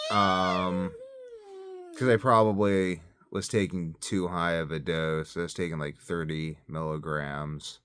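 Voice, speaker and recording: Very low-voiced man, casual video diary. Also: a bit bright, clear, resonant tone, no room sound. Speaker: self-experiment health vlogger and parent. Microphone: phone set up close by.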